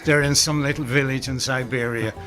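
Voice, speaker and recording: A man's voice: mid-range voice, steady tone, brisk, a bit bright, soft background music. A man with an Irish accent answering interview questions as a dramatist, repeating words as he explains. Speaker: radio dramatist being interviewed.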